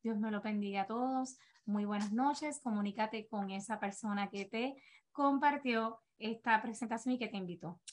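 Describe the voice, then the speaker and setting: Woman, thirties, Puerto Rican accent, high pitch, earnest, encouraging, motivational business talk, video call. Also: normal speed. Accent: Puerto Rican Spanish. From Puerto Rico. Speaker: direct-sales team leader. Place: home office, indoors.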